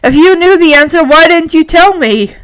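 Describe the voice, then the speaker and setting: A woman voicing a gruff, impatient, easily defeated man while retelling a television story. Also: mid-range voice, a little slow, natural voice. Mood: annoyed.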